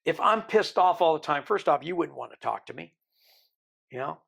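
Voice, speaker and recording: A man in his sixties, from the Isle of Man, with a Birmingham accent softened by decades in America, telling his life story in an interview with rambling, joking asides. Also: fairly high pitch, expressive, moderate pace, vocal fry. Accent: American-tinged Birmingham English. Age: 60s. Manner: rambling, joking, self-deprecating. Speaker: interview guest.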